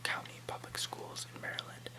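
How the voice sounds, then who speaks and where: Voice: moderate pace, poor audio. Whispering ASMR reader. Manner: hushed, careful read-aloud with stumbles over names. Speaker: ASMR channel host. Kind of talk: whispered ASMR reading of an encyclopedia article.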